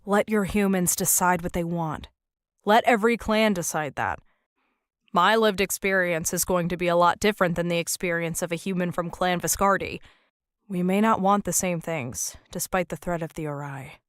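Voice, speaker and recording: Frank, lowish-voiced human negotiator played by a woman. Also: normal speed, no room sound, clean studio sound, natural voice. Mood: firm. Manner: matter-of-fact, candid. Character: frank, guarded human negotiator, principled and wry. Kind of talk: audio drama acting.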